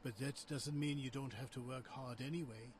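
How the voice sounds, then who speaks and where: An actor playing a stern, patronizing father in a video game, measured and firm. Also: medium pitch, steady tone, slight background noise, lightly acted. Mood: approving. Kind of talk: video game cutscene acting.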